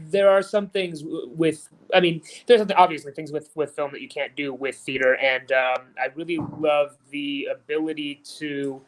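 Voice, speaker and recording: A man, a director, reflecting on film and theater on a panel, in halting phrases. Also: fairly high pitch, a bit bright, low-quality recording. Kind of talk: panel discussion.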